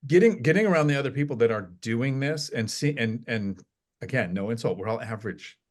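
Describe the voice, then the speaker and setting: A man's voice: mid-range voice, expressive, brisk, darkish tone. Retired guy rambling on a podcast, keeps restarting his sentences. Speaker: podcast guest, retired real estate investor. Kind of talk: casual podcast chat.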